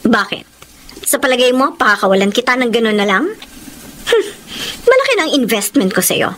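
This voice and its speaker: A woman's voice: a little high, sing-song, moderate pace, fairly bright tone, slight background noise. An actress playing a shrewd, possessive businesswoman with a firm, controlling manner in a Filipino radio drama. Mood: possessive, threatening. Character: possessive, shrewd businesswoman financing her kept lover.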